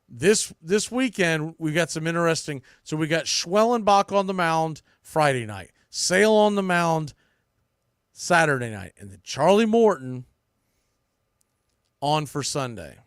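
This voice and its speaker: A man's voice: a little high, sing-song, slow pace, pauses often, clear, resonant tone, no room sound. Conversational male baseball podcaster, close dry sound. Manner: conversational, thinking aloud with self-corrections. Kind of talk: sports preview commentary, off the cuff.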